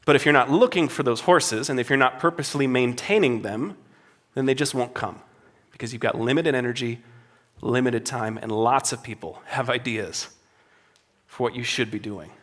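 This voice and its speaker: A man with a slightly raspy voice speaks at a conference in a hall full of people. He talks to worship leaders in an earnest, conversational way, and he repeats his phrases for emphasis. His pitch sits in a plain middle range. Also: moderate pace, a bit bright. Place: conference hall before a live audience.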